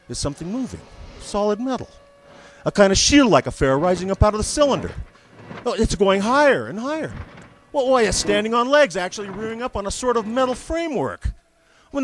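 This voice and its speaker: An actor playing an excited radio field reporter, giving breathless eyewitness commentary in 1930s radio drama style. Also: male voice, sits high. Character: excited male radio field reporter witnessing an alien machine.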